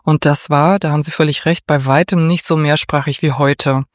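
A woman's voice: sits low, fairly even intonation, moderate pace, no echo, clean studio sound. A middle-aged woman from Berlin giving articulate, explanatory interview answers in a formal way. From Berlin, Germany. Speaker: interview guest, head of a municipal education and culture office.

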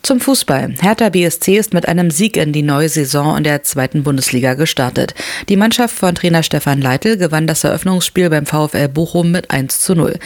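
Woman reading the radio news. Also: low-pitched. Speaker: newsreader.

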